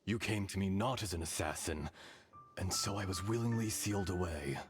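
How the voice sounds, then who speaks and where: An actor voicing an ancient, weary and noble earth dragon spirit in a video game, solemn and grandly poetic. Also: male voice, low voice, normal speed, slightly rough. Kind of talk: scripted video game acting. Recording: game audio with music behind.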